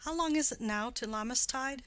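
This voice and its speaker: A woman's voice: high-pitched, moderate pace, no room sound, pitched above the performer's own voice. Woman doing a chattering old nurse. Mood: rambling fond. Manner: chattering, swooping up loud on quoted exclamations. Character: garrulous old female nurse, rambling and fond. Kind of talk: dramatic reading of a play.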